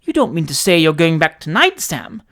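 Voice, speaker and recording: A reader voicing a flustered, easily indignant young gentleman who speaks in a fussy, indignant manner. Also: mid-range voice, sing-song, moderate pace.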